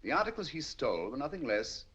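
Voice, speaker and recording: An actor playing a shrewd, calm detective, speaking in a measured, deliberate way in a 1940s film. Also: male voice, medium pitch, husky. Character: shrewd calm male detective, middle-aged. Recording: dull lo-fi 1940s film soundtrack.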